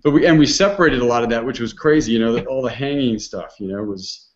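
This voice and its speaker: A man's voice: mid-range voice, fast, muffled recording, slight background noise. A man hosting a casual online hangout, talking in a rambling way with many restarts and fillers. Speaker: host.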